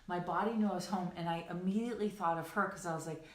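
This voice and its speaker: A woman's voice: fairly low pitch, steady tone, fast. A woman co-hosting a coaching livestream, chatting casually and enthusiastically to her online audience in a gushing, rambling way, often checking in as she goes. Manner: giggly, gushing, rambling with frequent "right" and "like". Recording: roomy, echoing sound.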